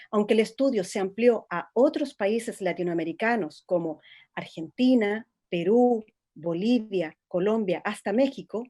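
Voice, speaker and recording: An actress playing a government official, reading a scripted statement in a formal, measured way. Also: female voice, medium pitch, dark tone, lightly acted. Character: female government innovation official giving a recorded statement. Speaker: executive secretary.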